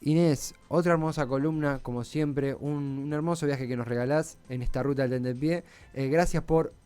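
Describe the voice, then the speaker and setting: A man's voice: medium pitch, fairly even intonation, moderate pace, warm tone, clean tone. A man with a Rioplatense Argentine accent hosting a radio show casually and effusively, repeating words for emphasis. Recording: faint music behind the voice.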